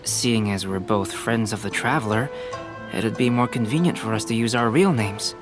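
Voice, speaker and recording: A man voicing a calm, perceptive young alchemist who talks in a measured, analytical way, in a game. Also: on the low side, lightly acted. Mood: relaxed. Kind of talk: game voice acting. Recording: game cutscene with music underneath. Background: game music.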